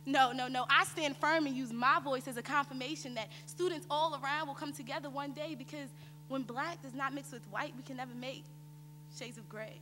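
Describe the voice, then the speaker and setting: A woman's voice: sits high, steady tone, a little fast, music in the background. A young woman performing rhymed spoken-word poetry on a theater stage with rhythmic, declamatory delivery. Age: young adult. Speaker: student performer. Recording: echoey theater hall with background noise.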